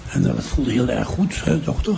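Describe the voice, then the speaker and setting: A man's voice: mid-range voice, lively intonation, gravelly, mellow. An older man playing a boastful, roguish crime boss and charming father in a radio play, drawing out and savouring his words. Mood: contented, relishing. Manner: drawn-out, self-satisfied, savouring his words. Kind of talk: improvised radio play dialogue. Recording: noisy room ambience.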